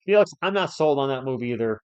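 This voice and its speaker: A man's voice: mid-range voice, expressive, fast talker, darkish tone, clear, resonant tone. A man who is a bit under the weather hosts a live sports stream, giving casual fan play-by-play and chatting with viewers by name in a conversational, playful way.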